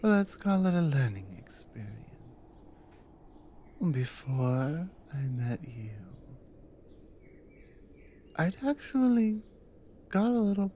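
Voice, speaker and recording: A woman playing a devoted, dominant Nordic warrior wife in an intimate ASMR audio roleplay, speaking softly and earnestly, often dropping to a whisper. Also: low-pitched, very animated, a little hiss or hum, heavily acted. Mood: gentle, sincere. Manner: soft, hushed, often breathy whispering, slow and earnest.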